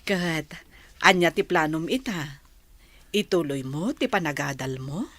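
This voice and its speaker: Low, husky older mother figure. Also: animated, measured pace, fairly bright tone, dry recording, full character voice. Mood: caring. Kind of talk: radio drama acting.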